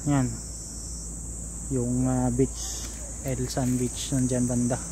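Slow, even-toned Filipino man outdoors. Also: medium pitch, background noise. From Philippines. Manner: relaxed, pointing things out to viewers as he walks. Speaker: vlogger. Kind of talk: casual walking tour vlog. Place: seaside park path outdoors. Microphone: phone held at arm's length. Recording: outdoor ambience with insects.

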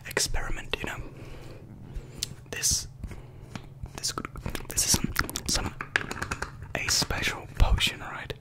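A man's voice: slow pace, pauses often. A young man doing close-up whispered ASMR, repeating words and short phrases over and over into a close microphone. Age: young adult. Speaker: ASMR video creator, host. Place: small bedroom, indoors. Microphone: close desk microphone with foam windscreen.

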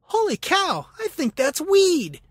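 A sassy, bossy wife from a comic holiday poem, put on by a man, high and swooping in pitch. She exclaims in scornful indignation, close and dry. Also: wildly swooping pitch, slow, dry recording, full character voice. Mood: amazed.

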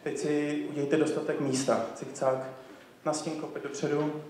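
A man's voice: a little high, flat delivery, moderate pace, a little mellow, a little hiss or hum. A man, a native Czech speaker, giving informal, encouraging instructions as a dance teacher in a group rehearsal, recorded from far off in a theatre. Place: large theatre stage, recorded by a far camera. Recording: very echoey theatre hall from far off.

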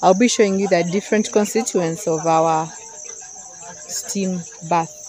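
A young woman walks through a rural field, chatting to her viewers as she explains plants. She talks into a phone camera, often held at arm's length. Music plays clearly behind her, with insects chirping. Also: on the low side, fairly even intonation, measured pace, darkish tone. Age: young adult. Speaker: vlogger. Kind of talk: casual vlog walkthrough.

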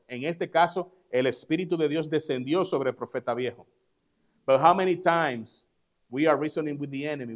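A male pastor, a native Spanish speaker, giving an emphatic sermon in a church service, saying each line in English and then repeating it in Spanish. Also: a little high. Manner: emphatic, stressing key words. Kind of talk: bilingual sermon.

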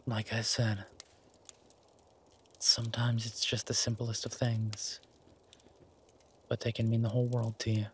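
A man playing a tender, teasing, easygoing werewolf boyfriend in an intimate romantic audio roleplay, murmuring softly with a husky voice. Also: mid-range voice, completely flat delivery, a little slow, pauses often, slight background noise, lightly acted. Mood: tender, reassuring.

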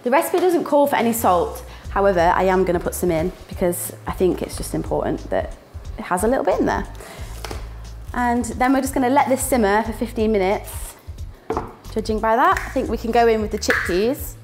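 A woman's voice: a little high, animated, brisk, faint music behind. A young woman with a northern English accent, chatting playfully as she cooks, laughing often and making little sound words. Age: young adult. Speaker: student cooking presenter. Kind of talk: casual cooking demonstration. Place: small indoor kitchen.

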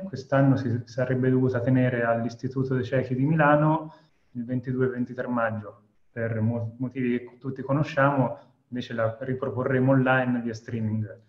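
A man's voice: mid-range voice, darkish tone. A man in his thirties from Italy presenting an online webinar as event co-founder over a video call. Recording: echoey, dull webinar audio.

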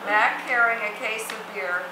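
A woman's voice: on the high side, a little slow. A woman reading stage directions as the narrator of a scripted reading. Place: theatre stage, seated, distant camera mic. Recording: echoey theatre, noisy background.

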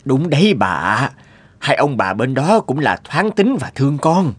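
A man voicing a reasonable, persuasive family man in a cartoon dispute, with a husky voice. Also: mid-range voice, big pitch swings, measured pace, dry recording.

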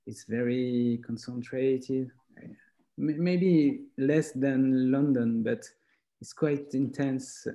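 A man, a graphic designer speaking English haltingly on a video call, often searching for words. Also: medium pitch, mellow. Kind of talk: casual chat. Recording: dull lo-fi call audio.

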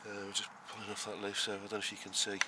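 A man's voice: fairly low pitch, monotone, quick pace. A man touring his vegetable allotment on camera, explaining his planting step by step in a rambling way, with lots of fillers and restarts. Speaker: allotment gardener, show host. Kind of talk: casual garden tour walkthrough. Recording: noisy outdoor camera audio. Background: outdoor rural ambience.

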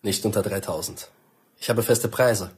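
An actor playing a callous, businesslike trader who haggles insistently in a radio drama. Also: male voice, lowish voice, fairly even intonation, normal speed, darkish tone, slightly rough. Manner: businesslike, insistent salesman's delivery. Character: callous man selling his children as merchandise, haggling.

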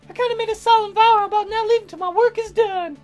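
A man voicing a dramatic, romantic young woman songwriter from a visual novel in a gushing falsetto. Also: fairly even intonation, fast talker, faint music behind. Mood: earnest, playful. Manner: melodramatic, gushing.

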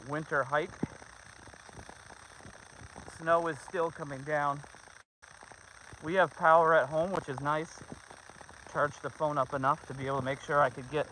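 A man's voice: on the high side, sharp tone, poor audio. A middle-aged man chatting casually and informally to viewers and companions while livestreaming a walk outdoors on a handheld phone. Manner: informal, talks to viewers, son and dogs. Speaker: livestreamer on a family dog hike. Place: outdoors on a snowy trail. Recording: outdoor phone recording with clear background noise.

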